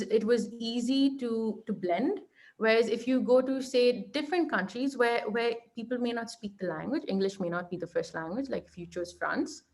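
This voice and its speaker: A woman's voice: mid-range voice, darkish tone. A young woman with an Indian accent, a law lecturer speaking as an interview guest, giving earnest advice with frequent restarts and "right?" checks. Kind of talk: online interview conversation.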